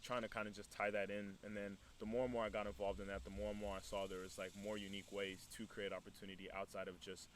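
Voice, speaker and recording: A man's voice: lowish voice, level tone, quick pace, few pauses. A man, chatting casually and a bit hesitantly as an interview guest. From Burundian background, grew up in Texas. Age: early 20s. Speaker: interview guest, student entrepreneur. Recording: clear background noise.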